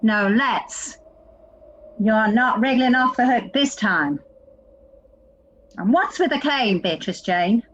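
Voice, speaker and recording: Woman playing a high-voiced, aggrieved, sarcastic sister. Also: animated, slow pace, long pauses, lo-fi sound, slight background noise. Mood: bitter, sarcastic. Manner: accusing, sarcastic, emphatic. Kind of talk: audio play acting.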